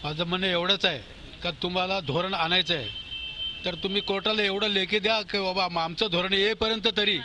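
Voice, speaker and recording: A man's voice: high voice, steady tone, brisk. A man, a local resident making an insistent appeal in an interview on location. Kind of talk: spontaneous street interview. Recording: faintly noisy location interview.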